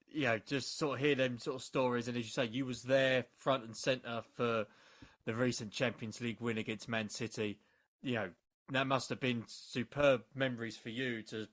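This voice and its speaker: A man's voice: medium pitch, fairly bright tone. A man hosting a podcast interview, asking leading questions with enthusiasm. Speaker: podcast host.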